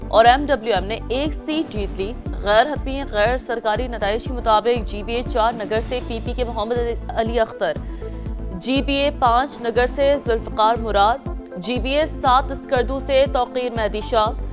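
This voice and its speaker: A woman news anchor with a Pakistani accent delivers scripted headlines. Her voice sits fairly high, and prominent music plays underneath. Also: a little slow. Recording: loud music bed under voice.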